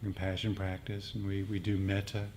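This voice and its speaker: A man with a fairly low voice explains meditation practice to a group without a script. He pauses and searches for words, with faint room noise behind him. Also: monotone, darkish tone. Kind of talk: unscripted explanatory group discussion.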